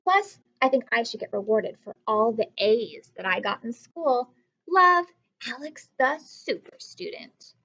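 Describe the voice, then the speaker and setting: Casting: woman as persuasive young son in a storybook, self-important and emphatic, unhurried, high voice. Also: sing-song, bright, forceful tone, muffled recording, full character voice. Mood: eager, boastful. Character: persuasive, self-important school-age boy writing to his dad. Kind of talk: storybook read-aloud.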